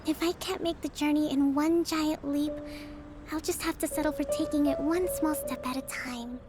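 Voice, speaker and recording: A woman voicing a calm high-voiced young priestess. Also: natural voice. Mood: soft reflective. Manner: measured, composed, authoritative. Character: young female divine priestess leading an army, calm. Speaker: commander. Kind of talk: video game voice acting. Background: game music.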